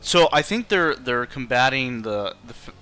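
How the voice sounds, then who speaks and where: A man's voice: mid-range voice, animated, moderate pace, pushed and bright, soft background music. A man streaming a role-playing game, chatting casually and explaining the story while searching for words.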